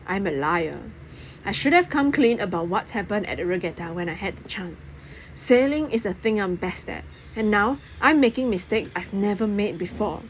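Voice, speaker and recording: Female audiobook reader, mid-pitched, dramatic first-person narration with clear noise in the background. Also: rough recording.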